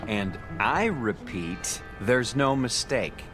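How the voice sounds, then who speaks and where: An actor playing a grouchy, sardonic husband with an American accent, dry and curt in a radio drama. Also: male voice, medium pitch, animated, slow pace, music in the background. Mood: defiant.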